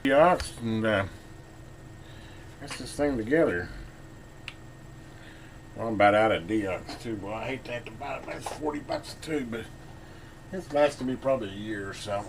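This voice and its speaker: A man's voice: mid-range voice, moderate pace, gravelly, long pauses, a little hiss or hum. An American man giving a casual, hands-on demonstration, talking informally and folksily, half to himself as he works. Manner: folksy, informal, muttering to himself while working. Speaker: repair vlogger.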